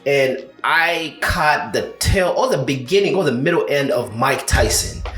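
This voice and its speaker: A man's voice: medium pitch, lively intonation, measured pace, a bit bright, faint music behind. Rambling man in an echoey room. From Uganda. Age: 30s. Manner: rambling storyteller, full of "you know" and restarts. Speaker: co-host of a combat sports show. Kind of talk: casual podcast chat.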